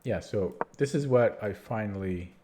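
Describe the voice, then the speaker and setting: A man's voice: fairly low pitch, normal speed, noisy background. A man live-streaming chess puzzles, talking casually to his chat, tired and rambling with many restarts, and starting to lose his voice. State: losing his voice.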